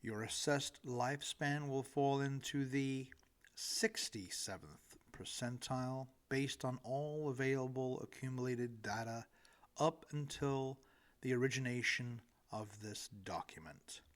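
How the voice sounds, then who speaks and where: A man reading his own humorous book aloud as an audiobook, with deliberate, dramatic phrasing and pauses between phrases. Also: medium pitch. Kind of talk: audiobook narration of humorous essay.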